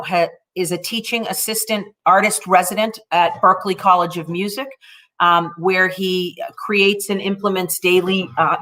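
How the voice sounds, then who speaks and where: A woman's voice: fairly low pitch, fairly bright tone. Effusive woman in her forties moderating. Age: 40s. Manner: effusive and encouraging. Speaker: panel moderator. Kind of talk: introducing and moderating a panel. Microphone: video call.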